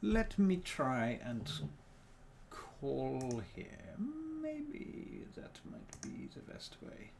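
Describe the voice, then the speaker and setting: A tired man from New Zealand talks his way through a livestream, thinking aloud and muttering to himself in a put-on accent. His voice sits a little high and swings up and down a lot, with a gravelly edge. A faint hiss sits under the talk. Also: wildly swooping pitch. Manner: deliberately speaking in a put-on non-native accent. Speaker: dungeon master and streamer. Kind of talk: casual livestream chat while working.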